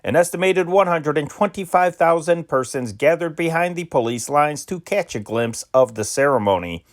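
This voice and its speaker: A man's voice: a little high, lively intonation, normal speed. A man reading old newspaper news dispatches aloud in an amateur style, with occasional slips and restarts. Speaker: reader of historic news dispatches.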